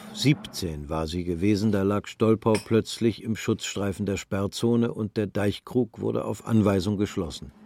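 A man narrating a literary radio drama in a sober, measured manner. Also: lowish voice, a little mellow, slight background noise.

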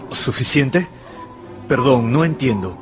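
An actor playing an earnest, melancholy tutor who tells his own story in a measured, intimate way in a radio drama. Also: mid-range voice, expressive. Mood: deferential. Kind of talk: first-person radio drama narration. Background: music behind the voice.

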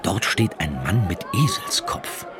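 Male narrator, radio drama, fairly deep, lively intonation, loud crowd and music bed. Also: measured pace.

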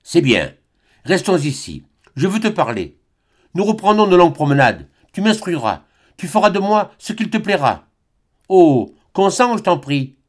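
Young woman, pleading and wounded; male narrator pitches up for her. High and animated. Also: unhurried. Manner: high, imploring, emotional. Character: young woman, pleading, then bitter and scornful.

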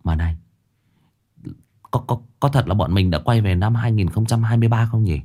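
A man voicing an excitable young male sidekick who talks in a high, loud, fretful way. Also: a little fast, close to an everyday voice. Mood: worried.

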